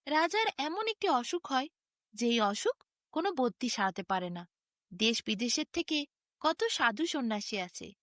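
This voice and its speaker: A woman's voice: on the high side, a bit bright, no echo, clean studio sound. A woman narrating a fairy tale as a bedtime story, with a sing-song storytelling delivery. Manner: sing-song storytelling with wide rises and falls.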